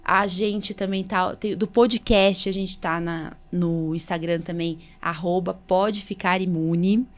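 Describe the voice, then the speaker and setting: A woman's voice: lowish voice, fairly even intonation, takes it slowly, few pauses. A woman with a Brazilian Portuguese accent talking casually and informally as a podcast host, in a chatty conversational way. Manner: chatty, informal, recounts exchanges in acted-out dialogue. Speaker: podcast host, allergist doctor.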